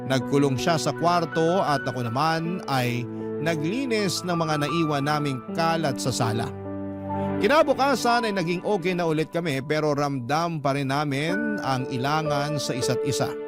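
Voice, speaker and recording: Man as Filipino storyteller over loud music. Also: on the high side, expressive, moderate pace, lightly acted. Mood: regretful. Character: regretful, jealous spouse confessing a love story. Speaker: narrator reading a letter sender's story. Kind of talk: scripted first-person radio drama narration.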